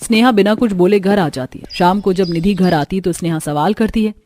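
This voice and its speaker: A woman's voice: mid-range voice, fairly quick, darkish tone. A woman reading scripted narration for a cartoon story as its narrator.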